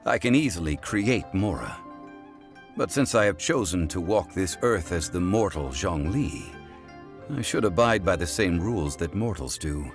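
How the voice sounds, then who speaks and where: An actor playing a calm, dignified ancient god living as a mortal in a game, speaking in a measured, formal and reflective manner. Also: male voice, low-pitched, gravelly, full character voice. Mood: calm, amused. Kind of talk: scripted game cutscene voice acting. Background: soft game music.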